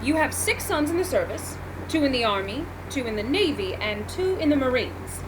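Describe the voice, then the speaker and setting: A woman's voice: sits high, sing-song, moderate pace. A woman playing a gracious 1940s radio interviewer in a formal announcer manner. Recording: noisy live event recording.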